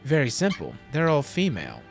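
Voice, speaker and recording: Man playing self-assured scientist tour guide, lively pitch. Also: a little fast, creaky, exaggerated voice. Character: proud, assured male geneticist guiding a lab tour. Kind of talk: fan audio drama acting. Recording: music under the voice.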